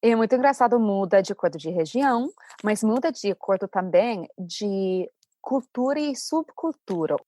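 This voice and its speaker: A woman's voice: medium pitch, lively intonation, moderate pace, dark tone, no room sound. A woman, an English teacher, speaking as a podcast guest in a friendly, reflective way. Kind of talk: casual conversation.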